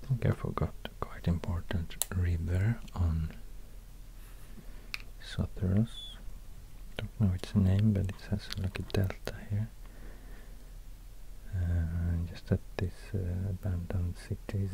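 Whispering Swedish-accented man, slow and low. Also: steady tone, gravelly, background noise. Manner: hesitant, halting, murmuring. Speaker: ASMR video host. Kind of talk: soft-spoken whispered ASMR. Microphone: close binaural microphone.

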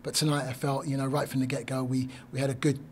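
An older man gives a post-game press conference as head coach, speaking into a desk mic. His pitch sits in a middle range but barely moves, and his measured answers are full of fillers and restarts. A faint hiss lies under the voice. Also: little pitch movement. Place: small press room. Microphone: desk mic on stand.

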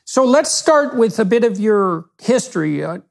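A middle-aged man hosting an interview, reading a measured, formal introduction of his guest. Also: high voice, sing-song, creaky. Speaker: interviewer host.

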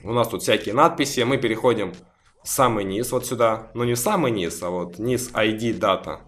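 A man casually talking viewers step by step through installing a game mod, a little hoarse from a cough. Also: on the low side, brisk, a bit bright, soft background music. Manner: chatty, talks viewers through each step. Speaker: gaming video creator. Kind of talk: casual screen-recorded mod tutorial.

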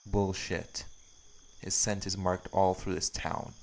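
A man playing a brash, threatening male werewolf in an audio roleplay, gruff and hostile. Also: deep voice, level tone, slow. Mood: menacing. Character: brash, menacing male werewolf hunting a vampire. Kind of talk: dramatic audio roleplay acting.

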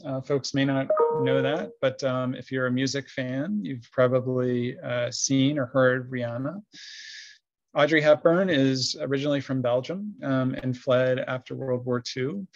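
A man's voice: mid-range voice, no room sound, highs cut off. A man presenting in a webinar, hesitant, with many ums and uhs, on a video call. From grew up overseas. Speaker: webinar guest speaker. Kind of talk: casual presentation.

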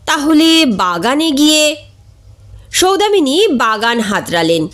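A woman reading a comic short story aloud in an expressive, dramatic way. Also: high voice, slow pace. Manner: expressive, acts out characters' dialogue.

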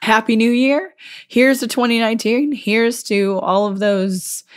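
A woman hosting a solo podcast, her voice a bit hoarse from a cold, talking chattily and laughing often. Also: a little high, fairly even intonation, unhurried. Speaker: podcast host.

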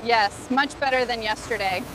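Brisk woman on a noisy factory floor. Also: a little high. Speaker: factory worker. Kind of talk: scripted workplace dialogue.

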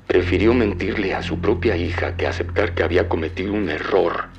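A man's voice: low-pitched, brisk, slight background noise. An actor playing a grave, regretful father making a solemn confession on an old cassette in an audio drama. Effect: old cassette tape filter. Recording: dull lo-fi cassette tape, faint music.

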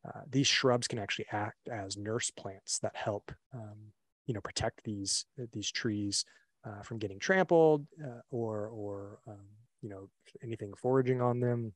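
A young man with a fairly low voice walks listeners through a slideshow in a loose, conversational way, leaning on fillers and restarting his sentences. He is recorded dry and close through a computer mic on a video call. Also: a little slow, dry recording. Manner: relaxed, lots of fillers and restarts. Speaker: webinar presenter. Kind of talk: casual slideshow presentation.

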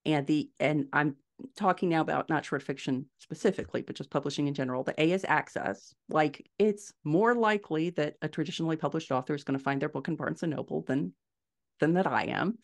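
A woman's voice: deep voice, a little fast, gravelly. A middle-aged woman answering conversationally as an interview guest, explaining things and stressing her key points, on a video call from a room at home. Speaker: podcast guest, indie fiction author. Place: small furnished room at home, indoors.

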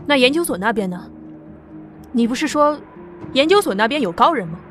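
A woman's voice: sits high, sing-song, brisk, fairly bright tone, soft background music. A woman playing an anxious young woman. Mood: curious.

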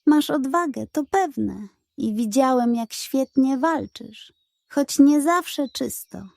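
A woman voicing a calm, thoughtful animal pirate captain in a children's story. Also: sits high, vocal fry, no echo, pitched above the performer's own voice, exaggerated voice. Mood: approving. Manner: measured, thoughtful.

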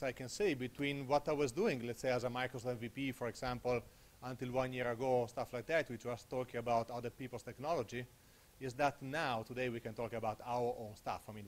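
A man in his thirties with an Italian accent, presenting at a conference on a handheld mic in a small room, casual and conversational, often checking in with "okay?". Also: medium pitch. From Italy. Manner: conversational, tags points with "okay?". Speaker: conference speaker. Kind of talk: technical conference talk. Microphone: handheld microphone.

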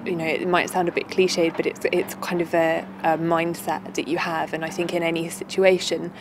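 A woman's voice: fairly low pitch, little pitch movement, normal speed, noisy background. A young woman, a medical student, speaks earnestly outdoors with small restarts. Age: 20s. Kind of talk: interview answer.

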